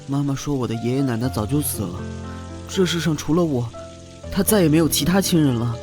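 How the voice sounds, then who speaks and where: A man playing a timid, polite, neglected schoolchild in an animated drama, in a subdued manner. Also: mid-range voice, fairly quick, over music, lightly acted. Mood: subdued, sad.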